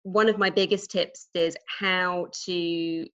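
English woman, Norwich accent, forties, lowish voice, chatty with ums, dull lo-fi call audio. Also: steady tone, takes it slowly. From England. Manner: warm chatty explaining, frequent ums. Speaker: recruitment manager, guest. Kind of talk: conversational podcast interview.

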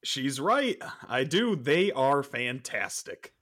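A man playing an excitable, easily riled suburban father in a horror-comedy audio drama. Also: mid-range voice, takes it slowly, exaggerated voice. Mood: jovial.

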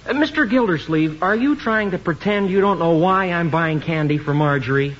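An actor playing an earnest young husband, pointed and insistent, in 1950s radio comedy style. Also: male voice, on the high side, lively intonation, moderate pace. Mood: indignant, accusing. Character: indignant, earnest young husband, prosecutorial and sarcastic. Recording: noisy, muffled 1950s radio recording.

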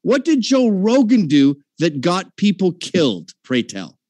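Combative, profane male debater; lively, highish delivery, dry. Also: a little slow, dry recording. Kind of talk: heated debate.

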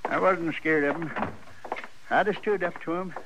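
An actor playing a cranky, boastful old Texan with an American Western drawl, blustering and stammering in a radio western. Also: male voice, a little high, steady tone, normal speed, natural voice. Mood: wounded pride. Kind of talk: 1950s radio western acting. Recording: noisy old radio recording with clicks.

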